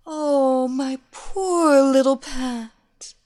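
Voiced by a woman, a seductive villainess who commands and teases her captive in a slow, hypnotic drawl. Her voice sits a little high and slips into breathy whispers on drawn-out words. She leans on the same words again and again like a spell. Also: expressive. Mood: taunting. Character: seductive female villain hypnotist, domineering and teasing. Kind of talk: erotic hypnosis audio story.

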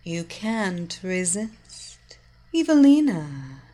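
A woman plays a sultry, controlling hypnotist villainess from an audio story. She draws every word out very slowly, with a lilting, rising-and-falling voice that lulls and commands at once. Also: fairly low pitch, sing-song, exaggerated voice. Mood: hypnotic. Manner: slow, soft, lingering hypnotic cadence.

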